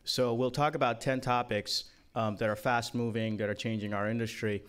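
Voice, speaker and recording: A man's voice: mid-range voice, steady tone, moderate pace, a bit bright, smooth. A man from Guyana giving a casual, joking talk to a conference room, with many ums and uhs. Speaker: conference speaker.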